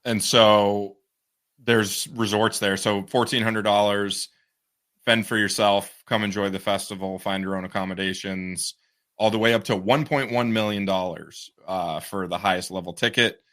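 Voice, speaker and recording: A man's voice: lowish voice, steady tone, moderate pace, fairly bright tone. A man co-hosting a casual news podcast, talking in an easygoing way and laughing often. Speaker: podcast co-host.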